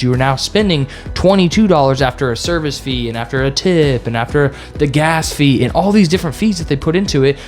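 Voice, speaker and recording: Earnest young man giving money advice. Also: fairly high pitch. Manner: earnest and repetitive, lecturing viewers directly. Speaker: finance vlogger. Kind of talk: casual advice vlog talking to camera.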